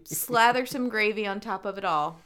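A woman's voice: medium pitch, moderate pace, a bit bright, clear, resonant tone. A woman co-hosting a radio show, chatting casually in an upbeat, emphatic way.

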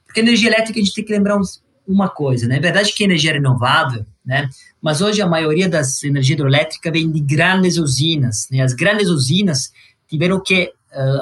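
Higher-pitched Italian man explaining in interview. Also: fairly bright tone, husky. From Sicily, Italy. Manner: explanatory, frequent tag questions. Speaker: guest, corporate venture executive.

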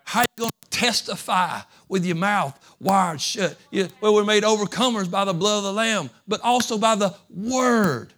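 A man preaching a sermon in a slightly high voice. He talks in a folksy, repetitive way, moving from soft conversational stretches into loud, fervent preaching. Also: moderate pace, few pauses. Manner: folksy, repeats phrases. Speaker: pastor.

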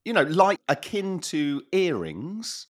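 Animated man, voice wearing thin. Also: on the high side, slow pace. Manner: animated, laughs often, repeats himself. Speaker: podcast co-host. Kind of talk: casual chat.

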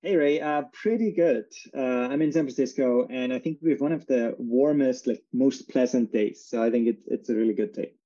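A man from Austria, a company chief executive, explaining his business in a relaxed interview with frequent fillers. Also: mid-range voice, moderate pace, few pauses, highs cut off. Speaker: company CEO, guest. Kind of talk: casual interview talk.

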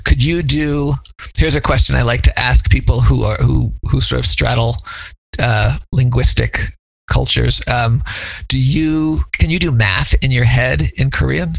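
A man in the interviewer's seat asks thoughtful questions in a gravelly, fry-tinged voice at an everyday pitch, often restarting his sentences as he goes. He sounds close and dry, with no room around him. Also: steady tone, measured pace, no room sound, low-quality recording. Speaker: interviewer, legal language scholar. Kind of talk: conversational interview.

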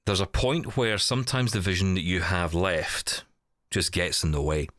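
A man's voice: deep voice, expressive, moderate pace, fairly bright tone, no room sound, studio quality. A man co-hosting a podcast in casual, reflective conversation, choosing words carefully and often correcting himself. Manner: hedging, careful, self-correcting. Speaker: podcast co-host.

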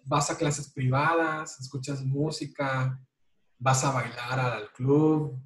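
A man's voice: mid-range voice, steady tone, slow pace, roomy sound, low-quality recording. A man, an economics professor, chatting relaxedly in an interview and often repeating words as he thinks. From Mexico. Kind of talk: conversational interview.

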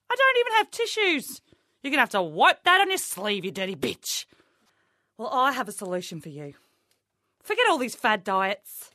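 A woman's voice: fairly high pitch, pushed and bright, dry recording, studio quality. A woman doing a brash, insulting mock-motivational comedy monologue as a life coach. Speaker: comic playing a life coach.

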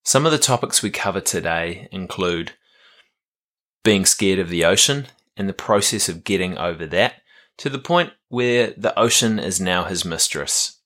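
A man hosting a podcast at home, relaxed and upbeat, with plenty of fillers. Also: low-pitched, moderate pace. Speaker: podcast host. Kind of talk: casual podcast interview. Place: home, living room.

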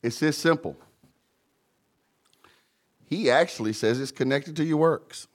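A man's voice: on the high side, a little slow. A man preaching a sermon with emphasis, calling out to the congregation and joking about his own mumbling. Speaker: pastor.

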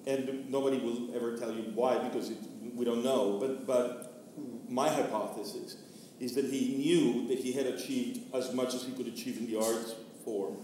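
Mellow man in a very echoey room. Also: mid-range voice, fairly even intonation, moderate pace. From Venezuela. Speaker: panelist, theatre artist discussing a play. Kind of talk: thoughtful discussion. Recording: distant mic, echoey room, faint noise.

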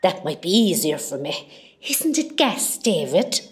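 An actress playing a chatty, nervous older hospital patient with an Irish accent in a comedy radio play, wry and gossipy. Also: female voice, on the low side, sing-song, measured pace.

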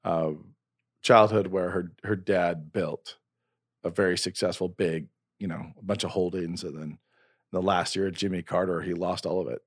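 Male host, 40s, low voice, dry close mic, earnest rambling chat. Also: fairly even intonation, moderate pace, no echo. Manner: rambling, restarts often, earnest. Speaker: podcast host and novelist. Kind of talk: casual conversation.

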